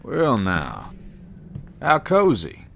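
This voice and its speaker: A man's voice: mid-range voice, huge pitch swings, drawn-out words, gravelly, a little hiss or hum, exaggerated voice. A man playing a plain-spoken, brave vampire hunter in an audio drama, with a folksy, wry manner.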